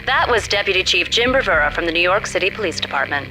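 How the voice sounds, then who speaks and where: Fast-talking polished news reporter played by a woman. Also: fairly low pitch, animated, music in the background, natural voice, low-quality recording. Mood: brisk, formal. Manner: crisp, professional newsreader delivery. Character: brisk, polished female television news reporter.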